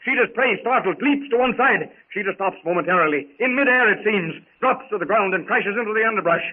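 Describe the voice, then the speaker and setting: A man's voice: high-pitched, animated, a little fast. A man narrating a jungle adventure serial in florid, theatrical 1930s radio style. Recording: tinny, hissy 1930s radio recording.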